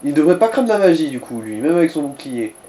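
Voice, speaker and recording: Slangy man with a mellow voice. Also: a little high, very animated, fast, slight background noise. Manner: slangy, mildly crude. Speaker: co-commentator advising the player. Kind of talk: casual gaming chat.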